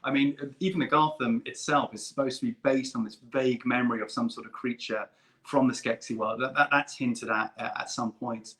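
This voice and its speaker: A man's voice: medium pitch, steady tone, fairly quick, fairly bright tone. A man chatting casually as a convention guest, speaking with emphatic enthusiasm. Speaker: guest performer at an online fan convention. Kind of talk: casual chat.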